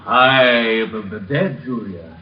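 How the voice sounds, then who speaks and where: An actor playing the solemn, menacing ghost of a dead husband in an old radio drama. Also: male voice, lowish voice, expressive. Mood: eerie, commanding. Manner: slow, solemn, drawn-out. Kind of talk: 1950s radio drama acting. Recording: dull, faintly noisy old radio recording.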